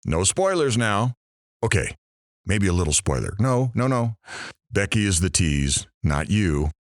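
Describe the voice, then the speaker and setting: A man's voice: on the low side, no room sound, clean studio sound. A man playing a chatty, joking radio talk show host in an audio drama. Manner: animated, joking.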